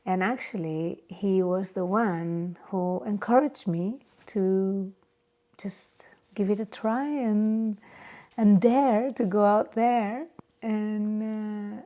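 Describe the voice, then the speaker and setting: A woman with a Spanish accent speaks in a mid-range voice at a very unhurried pace. She is a non-native speaker hosting an unscripted, personal podcast. Her delivery is halting, with searches for the right word. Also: mid-range voice, warm tone. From Barcelona area, Spain. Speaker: podcast host. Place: home or private studio.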